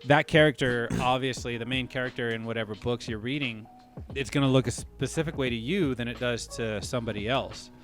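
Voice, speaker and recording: A man talking through his ideas on a casual podcast, circling back and restarting as he goes. His voice sits at an ordinary middle pitch. Faint music plays softly behind him. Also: brisk, few pauses. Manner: thinks aloud, frequent restarts and "you know what I mean". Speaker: podcast co-host.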